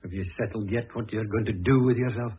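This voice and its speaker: A muffled, lo-fi radio play recording carries a middle-aged father. He is brusque, fussed and easily irritated, with a husky edge to his voice and an old-fashioned dramatic delivery. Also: on the low side, steady tone, quick pace. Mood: gruff. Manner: brusque, touchy, impatient.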